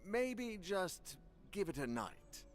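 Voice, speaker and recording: A conciliatory male council member in a fantasy game. His highish voice varies dramatically, with soft music behind. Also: sing-song, slow, exaggerated voice. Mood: tentative. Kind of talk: video game cutscene acting.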